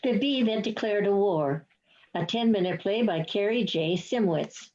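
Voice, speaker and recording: A woman reads scripted narration for a play. Her voice is mid-pitched. The recording is a little dull. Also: unhurried, a little mellow. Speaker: stage-directions reader.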